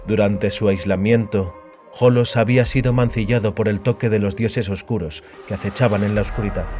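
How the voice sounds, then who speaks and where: A man's voice: fairly low pitch, darkish tone. A man giving a dramatic narration of scripted fiction as an audiobook narrator.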